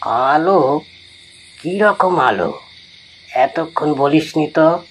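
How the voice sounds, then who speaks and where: A man voices a curious, uneasy village boy in a horror audio tale. His questions come out very slowly, in a husky, breathy tone. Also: a little high, lively intonation, a bit bright, full character voice. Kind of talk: dramatised audio story dialogue.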